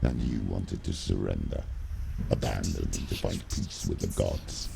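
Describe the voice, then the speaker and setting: An actor voicing a menacing dark demonic spirit that narrates a game in solemn verse with a slow, growling delivery. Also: male voice, heavy background noise, exaggerated voice. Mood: grave, brooding. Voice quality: very deep, gravelly growl. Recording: loud music over the voice.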